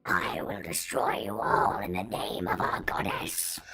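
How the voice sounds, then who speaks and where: A woman playing a menacing fanatical zealot in an audio drama, whispered and gravelly. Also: extremely low pitch, steady tone, a little slow, fairly bright tone, pitched below the performer's own voice, full character voice.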